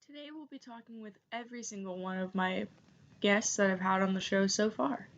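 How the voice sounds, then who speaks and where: A woman's voice: medium pitch, brisk, slight background noise. A young American woman hosting a podcast, chatty and enthusiastic in casual talk. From United States. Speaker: podcast host. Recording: dull lo-fi recording.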